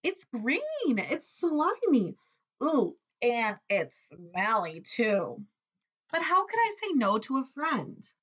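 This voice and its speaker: Picture-book crab, voiced by a woman: squeamish, hesitant, drawn-out words, highly animated pitch. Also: husky, dull audio, exaggerated voice. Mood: disgusted, squeamish. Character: squeamish, reluctantly polite crab.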